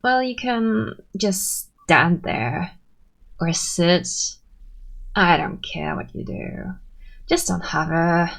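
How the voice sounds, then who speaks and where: Woman acting a slow-talking, creaky-voiced tsundere friend. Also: lowish voice, full character voice. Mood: prickly, insistent. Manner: brusque, defensive, softening into shy warmth. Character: tsundere female friend, bossy but secretly sweet. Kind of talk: ASMR roleplay, scripted monologue to listener.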